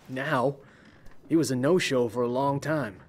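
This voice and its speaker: A man's voice: medium pitch, a little slow, slight background noise, lightly acted. Man acting an understated young fighter. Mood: reserved. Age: young adult. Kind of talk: video game cutscene acting.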